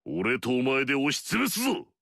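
A man's voice: medium pitch, huge pitch swings, brisk, gravelly, a bit bright, no room sound, studio quality, heavily acted. An actor playing an arrogant, fierce male warlord in a video game, swaggering and barking battle cries.

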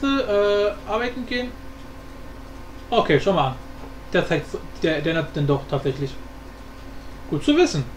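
Guy doing a casual screen tutorial, pretty high voice, thinking out loud, roomy echoey sound. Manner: informal, thinking aloud while demonstrating. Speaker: tutorial host. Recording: roomy echo with background noise.

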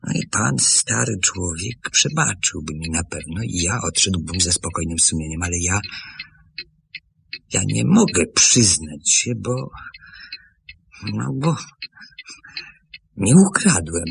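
An actor playing an earnest middle-aged former apprentice in a Polish radio play, pleading deliberately, with heavy pauses. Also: male voice, low voice, expressive, gravelly, fairly bright tone, husky, heavily acted. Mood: earnest, restrained. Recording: old hissy radio drama recording.

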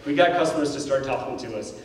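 Middle-aged man, keynote storyteller, rapid and candid, heard from far back in a big hall. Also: medium pitch. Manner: animated, gestures while pacing the stage; candid, self-deprecating. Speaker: keynote speaker. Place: large indoor auditorium stage. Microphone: handheld mic.

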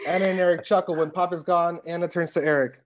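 A man's voice: on the high side, fairly even intonation, fast. A man in his thirties reading stage directions as scripted narration for a comedy table read, on a video call with earphones. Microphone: wired earphones on a video call.

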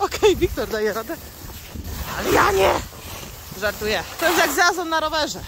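High-voiced excitable young woman skiing. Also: normal speed. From Kalisz, Poland. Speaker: vlogger. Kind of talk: casual vlog chat to viewers. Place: outdoor ski slope at night in snowfall. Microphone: phone held at arm's length. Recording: noisy outdoor phone audio, wind and snow.

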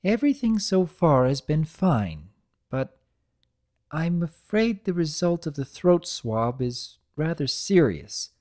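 A man voicing a professional female nurse pony, gentle and formal, in a dramatic reading of a cartoon story. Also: a little high, big pitch swings, slow pace, pitched above the performer's own voice. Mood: grave.

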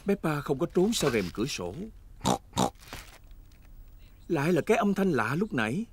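A man's voice: fairly high pitch, big pitch swings, fast, slight background noise. A man playing the cheerful storybook narrator of a children's cartoon, explaining things simply. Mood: playful.

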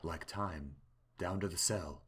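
A man's voice: low voice, slow, fairly bright tone. A man playing a devoted, composed young maid in an amateur comic dub, speaking earnestly and formally. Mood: resolute. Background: music.

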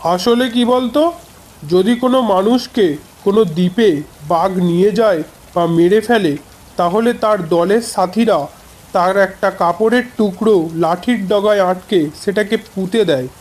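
A man playing a grandfatherly retired forest officer who tells his ghost adventure in a measured, conversational way for a horror cartoon. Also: high voice, a little mellow. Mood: measured, suspenseful. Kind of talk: dramatised horror storytelling. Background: background music.

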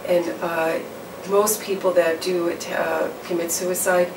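A middle-aged woman explains things in a measured, low and fairly flat voice, pausing on fillers and restarting phrases. The mic sits at a distance, so the sound is roomy, with steady background noise. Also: vocal fry. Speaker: campus counseling professional. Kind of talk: interview answers. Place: office or studio in front of a backdrop.

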